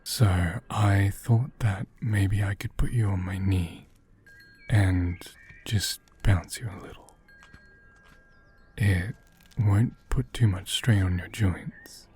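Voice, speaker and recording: Guy acting as a gentle asmr doll caretaker, really slow n soft, mellow half-whispered reassuring voice. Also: sits low, fairly even intonation, a little hiss or hum. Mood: gently concerned. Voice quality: soft, breathy, often whispered. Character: gentle, caring man tending a fragile living doll. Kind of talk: ASMR roleplay.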